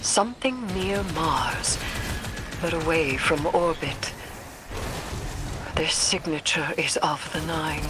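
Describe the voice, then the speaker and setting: An actress playing a solemn, cryptic and stern mystic in a video game cutscene, formal and portentous, often breathy. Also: female voice, low voice, takes it slowly, pauses often, music in the background.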